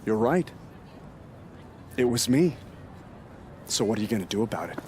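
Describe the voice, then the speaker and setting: Sarcastic video game wrestler, theatrical, teasing, very lively pitch swings, slight outdoor background noise. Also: male voice, moderate pace. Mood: taunting. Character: sarcastic, taunting male wrestler with a new masked gimmick. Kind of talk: acted cutscene dialogue.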